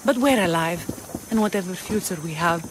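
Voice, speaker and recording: An earnest, grateful ally in a game cutscene, voiced by a man. He speaks quietly in a high voice. Clear background noise sits under him. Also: brisk, natural voice.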